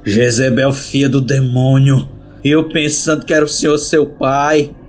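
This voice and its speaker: A man's voice: medium pitch, level tone, unhurried, soft background music, lightly acted. A man with a Brazilian accent playing a vengeful, furious bandit in an audio drama. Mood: vengeful fury. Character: vengeful male cangaço bandit, furious and cursing.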